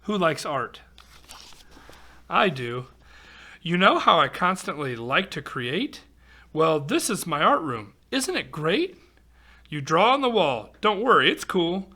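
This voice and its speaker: A man's voice: sits high, bright, forceful tone. A man reading a rhyming picture book aloud to children, expressively, with exclamations and chatty asides. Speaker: volunteer storybook reader for children.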